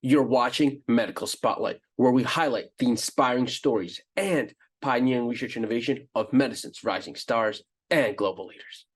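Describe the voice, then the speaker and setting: A man giving a scripted, smooth promotional show introduction. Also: mid-range voice, normal speed, hardly any pauses. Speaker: show announcer. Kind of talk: scripted announcement.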